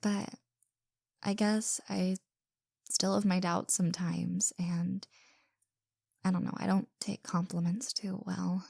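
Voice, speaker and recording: An anxious young woman played by a woman in an intimate audio drama, full of self-doubt and apology. She speaks slowly and haltingly in a soft voice with a creaky edge, stammering and sighing, often sinking to a whisper. The sound is close and dry. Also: lowish voice, flat delivery, no echo, exaggerated voice. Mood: vulnerable, reflective. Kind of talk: intimate audio roleplay acting.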